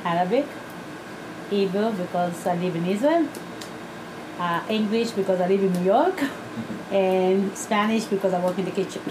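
A woman answers questions in short, plain sentences of simple English. Her voice sits at an ordinary middle pitch, and a steady room noise hums clearly behind her. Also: normal speed, pauses often. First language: Italian. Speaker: camp head cook. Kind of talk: interview answers. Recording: noisy room.

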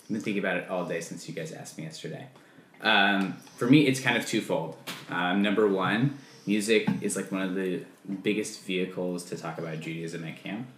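A deep-voiced man talks in a loose, chatty way, filling his answers with "um" and "like". The room rings with noticeable echo, and a faint background noise sits under his voice. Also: normal speed, fairly bright tone. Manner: chatty, filler-heavy, says "um" and "like" often. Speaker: camp music leader. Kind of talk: interview answers. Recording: echoey room.

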